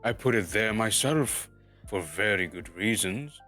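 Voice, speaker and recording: A man voicing a stern old wizard in a storybook, forceful and commanding. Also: on the low side, expressive, unhurried, faint music behind.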